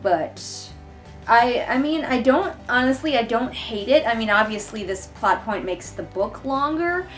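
A woman's voice: fairly high pitch, animated, unhurried, faint music behind. A young woman giving casual book commentary in a sarcastic, exasperated manner, recorded indoors a little way from the camera. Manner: sarcastic, exasperated, mildly sweary. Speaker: vlogger reviewing a book. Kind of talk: casual read-along commentary. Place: living room couch, indoors.

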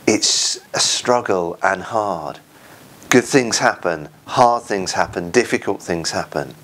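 A man in his forties with an English accent, a church minister giving an earnest, informal sermon in short, halting phrases, recorded indoors on a lapel mic. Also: sits low, fairly bright tone. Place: indoors, small room at home.

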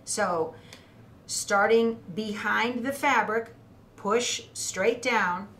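A woman's voice: fairly high pitch, extremely slow, a bit bright. A middle-aged woman giving a hands-on demonstration as an instructor, explaining methodically step by step. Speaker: quilting instructor. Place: indoor craft studio at a cutting table. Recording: roomy echo, clear background noise.

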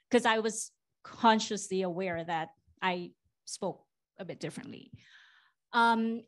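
Filipino-born woman, 40s, conference panel guest, thoughtful and halting. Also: mid-range voice. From Philippines. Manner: warm, reflective, many ums. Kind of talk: fireside chat on stage. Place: conference stage before a live audience. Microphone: handheld mic.

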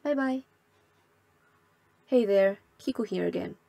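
A woman's voice: mid-range voice, takes it slowly, vocal fry, dark tone, dry recording. Awkward, apologetic woman hosting a game. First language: not English. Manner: self-conscious, apologetic, a little awkward. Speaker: let's play host. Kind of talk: casual gameplay commentary and translation.

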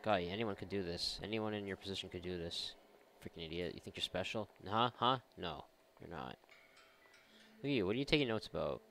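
A man's voice: sits low, brisk, a bit bright, a little hiss or hum. A man giving casual, sarcastic, often profane commentary while playing a video game. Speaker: gaming video host. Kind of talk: casual let's play commentary.

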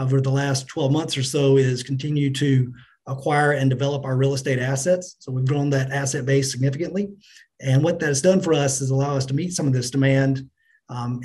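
A middle-aged man answering business interview questions as a company finance chief, in a measured, businesslike manner. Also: medium pitch, darkish tone. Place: indoors on a remote video call.

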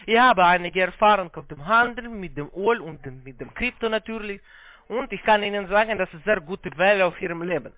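A non-native speaker, a man with a foreign accent and halting, broken grammar, giving a phone sales pitch. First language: not German. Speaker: call-centre investment salesman. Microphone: telephone. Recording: muffled band-limited phone line.

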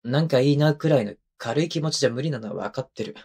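A man's voice: mid-range voice, fast, darkish tone, breathy edge. A male voice actor playing a playful, clingy, childlike young husband in an intimate ASMR drama, coaxing and teasing in a casual, hesitant way. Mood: earnest, tender. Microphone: close microphone, binaural.

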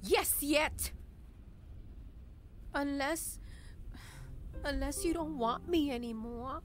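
A woman playing a fiery, melodramatic lover in a comic audio drama, excitable and full of exclamations. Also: high-pitched, slow, slight background noise. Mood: sultry, wounded. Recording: loud music underneath.

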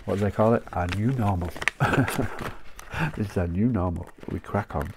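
A man's voice: lowish voice, moderate pace, very noisy. A man with a chesty cough, chatting in a rambling way and laughing often while cutting paper at his craft desk. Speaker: craft channel host. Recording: paper crinkling close by.

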